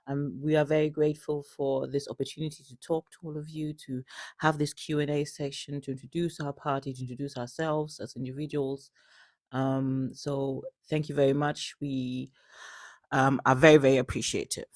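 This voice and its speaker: A middle-aged woman introducing herself at a political Q&A, speaking deliberately and haltingly, with long pauses. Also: rumbling low, little pitch movement, a little mellow, no room sound, studio quality. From Germany, Ghana and the UK. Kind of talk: spoken self-introduction at a Q&A. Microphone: handheld or passed microphone, close.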